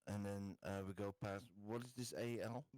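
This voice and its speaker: A man's voice: fairly low pitch, steady tone, moderate pace, darkish tone, no echo. A man chatting casually as a tabletop game player, informal and hesitant as he thinks aloud.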